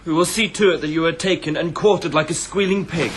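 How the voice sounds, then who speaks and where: A man's voice: on the high side, steady tone, fast talker, noisy background, close to an everyday voice. A young actor playing a proud rich man's son held captive in a radio drama, indignant and defiant with a tremor. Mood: angry defiance.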